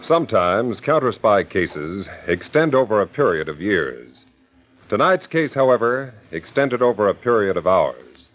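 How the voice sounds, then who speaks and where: Casting: vintage radio announcer, deep voice, solemn dramatic scene-setting, measured phrasing. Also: male voice, very animated, fairly bright tone. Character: dramatic, solemn radio crime show narrator. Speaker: announcer and narrator. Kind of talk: 1940s radio scripted narration.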